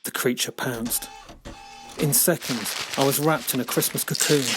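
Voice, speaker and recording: A man reading a children's story as scripted narration, expressive and dramatic in his delivery. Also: medium pitch, normal speed, over music. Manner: expressive, dramatic character shifts. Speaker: author reading own book.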